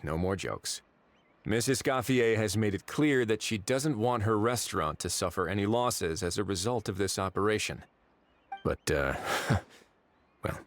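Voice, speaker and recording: A man voicing an affable, easygoing male official in a video game, relaxed and lightly wry. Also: fairly low pitch, moderate pace. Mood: easygoing, measured. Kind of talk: video game dialogue acting. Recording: game music behind the voice. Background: background music.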